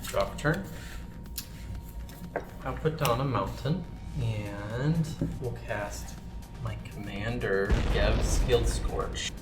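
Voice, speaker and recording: Card player: unusually low, slow woman, terse; distant roomy mic. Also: music in the background. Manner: terse, matter-of-fact.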